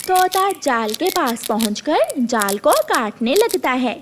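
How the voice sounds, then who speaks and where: A woman's voice: sits high, big pitch swings, slow pace, a little hiss or hum. A woman narrating a scripted children's cartoon story.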